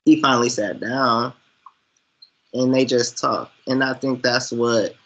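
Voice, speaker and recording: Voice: medium pitch, steady tone, unhurried, low-quality recording. An American co-host in a casual film chat, speaking in an informal, rambling, slangy way. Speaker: podcast co-host reviewing a film.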